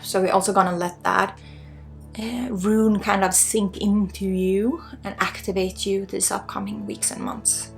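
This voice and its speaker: Slow, creaky-voiced Swedish woman. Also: medium pitch, little pitch movement, soft background music. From Sweden. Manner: earnest, encouraging, halting phrasing. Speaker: spiritual guide and energy healer. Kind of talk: unscripted spiritual guidance, ASMR healing session.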